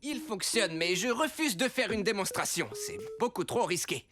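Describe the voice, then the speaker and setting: Animated, wise male superhero teacher. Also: high voice, moderate pace. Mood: cautious, firm. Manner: instructive, expressive storytelling. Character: wise, cautionary superhero academy teacher, adult man. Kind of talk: children's cartoon dub.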